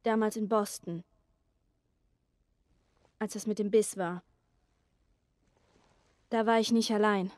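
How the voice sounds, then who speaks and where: A woman playing an earnest, vulnerable teenage girl survivor in a video game drama, speaking haltingly. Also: mid-range voice, fairly even intonation, darkish tone, no room sound, close to an everyday voice. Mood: sad, confessional.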